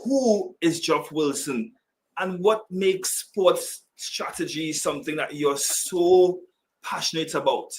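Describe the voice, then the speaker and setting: A man from Trinidad and Tobago hosting a sports podcast, formal and earnest, slowing down for key words. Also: on the high side, slight background noise. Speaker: podcast host. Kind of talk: podcast interview.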